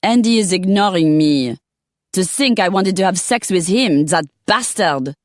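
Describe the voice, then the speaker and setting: A woman's voice: medium pitch, animated, moderate pace, no room sound, clean studio sound, pitched above the performer's own voice, close to an everyday voice. A woman voicing a vain, jealous Frenchwoman from Paris with a French accent and dramatic, haughty delivery.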